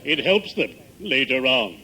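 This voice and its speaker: An actor playing a pompous, morbidly genial middle-aged undertaker with genteel, mock-solemn diction in an old-time radio sitcom. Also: male voice, mid-range voice, sing-song, measured pace, sharp tone, slightly rough, heavily acted. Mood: solemn, polite. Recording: noisy vintage 1950s radio broadcast.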